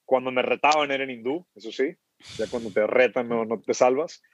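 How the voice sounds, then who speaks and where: A man's voice: mid-range voice, fairly quick. A man from Ecuador, a startup founder, talking casually as a podcast guest, often repeating words as he thinks. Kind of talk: casual interview conversation. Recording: dull muffled sound, dry.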